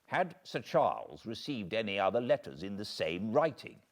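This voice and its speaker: A British man voicing a young baronet who questions his servant in a brisk, direct manner. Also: fairly low pitch, sing-song. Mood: inquiring.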